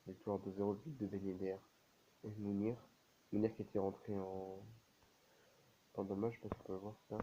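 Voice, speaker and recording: A man with a cold commentating his own video game match in a casual, halting way, full of fillers. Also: lowish voice, noisy background. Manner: halting, many fillers and restarts. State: has a cold, sneezing. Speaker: gaming video creator.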